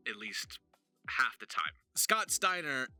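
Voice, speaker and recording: A man's voice: medium pitch, very animated, slow, bright, forceful tone, no echo, faint music behind. A man giving a casual tutorial as a voice actor, with an emphatic, jokey, self-deprecating manner.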